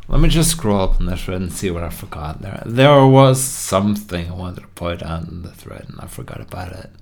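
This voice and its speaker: A man's voice: low-pitched, animated, a little fast, darkish tone. A man from Norway's west coast, chatting casually on a live stream, swearing a lot and losing his thread often. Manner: profane, easily sidetracked. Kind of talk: casual rambling stream chat.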